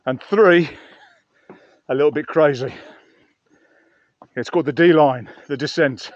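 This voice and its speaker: Higher-pitched man chatting outdoors, slightly dull sound. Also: measured pace, no room sound. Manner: chatty, rambling, musing aloud. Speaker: vlogger reviewing gear outdoors. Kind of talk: casual narration to camera while hiking. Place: outdoors on a mountain trail.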